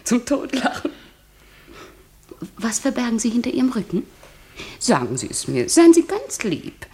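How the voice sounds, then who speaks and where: Radio play: very breathy, husky flirt, coaxing and playful, lively swooping delivery. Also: female voice, on the high side, measured pace, heavily acted. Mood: teasing. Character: teasing, coquettish, gossipy woman acquaintance of a married man. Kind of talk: German radio drama acting.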